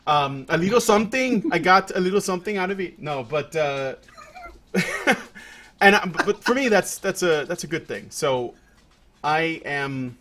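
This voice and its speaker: A man's voice: on the high side, lively intonation, normal speed, sharp tone. A man hosting a casual podcast, talking loosely with lots of restarts and fillers. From Puerto Rico. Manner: rambling, many restarts and fillers. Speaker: podcast host. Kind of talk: casual chat.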